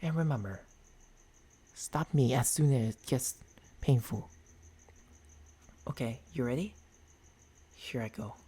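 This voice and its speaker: A man voicing a gentlemanly, tender vampire boyfriend in an intimate ASMR roleplay, speaking haltingly into a close binaural microphone with formal endearments and a husky, breathy voice. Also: medium pitch, slight background noise, lightly acted. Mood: reluctant, tender.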